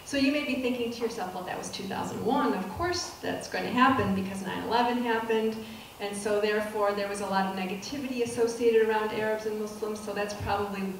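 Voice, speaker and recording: A middle-aged woman giving a public lecture as a professor, speaking softly and with measured pacing, often pausing with ums and restarting phrases. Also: mid-range voice. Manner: soft-spoken, measured, with frequent ums and restarts. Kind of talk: lecture to an audience. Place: dark auditorium stage with projector screen. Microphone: handheld mic, recorded from a far camera. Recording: distant, echoey hall with room noise.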